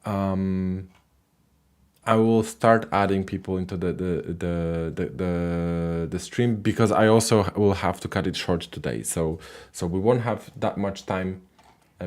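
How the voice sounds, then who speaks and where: A man hosting a live call-in language show, casual and halting, with many fillers and restarts. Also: low voice, darkish tone.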